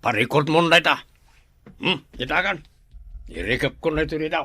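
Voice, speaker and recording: Mean mocking captor guard, highish sharp voice, curt radio drama talk. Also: male voice, animated, moderate pace, husky, full character voice. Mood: curt, taunting. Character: gruff, taunting guard of a captive. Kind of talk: Ilocano radio drama acting.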